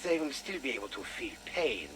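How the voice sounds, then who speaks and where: An actor playing a sinister German doctor with menacingly polite, theatrical delivery and a German accent in a video game audio log. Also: male voice, medium pitch, sing-song, moderate pace, lightly acted. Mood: smug, deferential. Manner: theatrical, menacingly polite, savouring his words. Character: sinister German doctor and torturer, gloating Soviet operative. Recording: noisy radio-style audio log.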